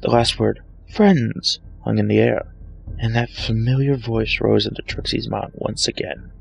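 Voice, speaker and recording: A man's voice: level tone, moderate pace, gravelly, rough recording. A man reading a fan fiction story aloud as a dramatic scripted narration. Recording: low-grade home microphone, music bed. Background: soft dramatic background music.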